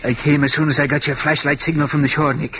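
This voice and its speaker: Cop sidekick for a male actor: eager, a bit high-pitched, muffled vintage radio serial. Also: flat delivery, quick pace, natural voice. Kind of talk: 1940s radio crime drama acting. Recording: muffled, faintly noisy old radio recording.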